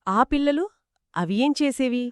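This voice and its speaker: A woman's voice: high voice, animated, moderate pace, clear, resonant tone, dry recording, studio quality. A woman telling a children's story conversationally, engaged and dramatic as she speaks to young listeners.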